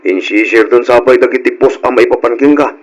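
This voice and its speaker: An actor as a familiar, chatty husband in an Ilocano radio drama. His medium-pitched male voice is conversational, teasing and supportive, with an Ilocano accent. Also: fairly quick, dull audio, natural voice. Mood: gossipy, concerned.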